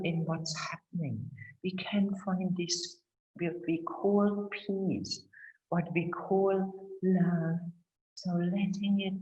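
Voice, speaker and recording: A woman leading guided breathing and gentle yoga as an instructor, in short, measured, soothing phrases with long pauses. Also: lowish voice. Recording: roomy echo, slightly muffled sound.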